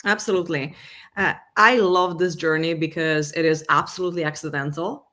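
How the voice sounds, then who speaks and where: A woman's voice: low-pitched, fairly even intonation, a little fast, pushed and bright. A woman with a Hungarian accent, a guest in an interview, talking through her career in a conversational way with many fillers. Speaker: guest, revenue enablement executive.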